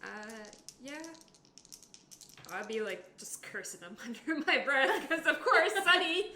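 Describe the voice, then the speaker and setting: A woman's voice: high-pitched, big pitch swings, measured pace. A woman playing a tabletop game, chatting casually in a playful, wry way about her character. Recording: echoey room with faint background noise.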